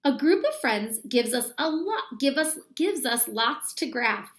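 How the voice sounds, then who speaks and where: Female elementary teacher, read-aloud for young children. Lively swooping pitch with creaky fry, deliberate and instructional. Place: small plain room at home, indoors.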